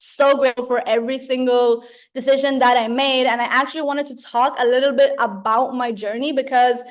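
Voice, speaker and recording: A young woman. She leads an online training like a mentor among friends, chatty and animated, slipping into slang and often checking in with her listeners. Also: high voice, fairly quick. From Sweden. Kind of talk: informal motivational talk to a group on a video call. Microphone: video call.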